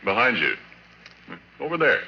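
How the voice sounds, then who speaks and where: A man's voice: mid-range voice, big pitch swings, normal speed, gravelly, husky, exaggerated voice. An actor playing a folksy, easygoing oil rig hand with colloquial working-man talk in an old-time radio horror drama. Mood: easygoing, joking. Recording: dull, noisy old radio recording.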